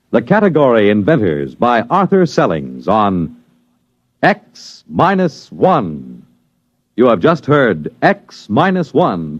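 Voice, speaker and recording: Vintage American male radio announcer, scripted delivery, lively intonation, dull lo-fi sound. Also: mid-range voice, dry recording. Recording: muffled old radio recording.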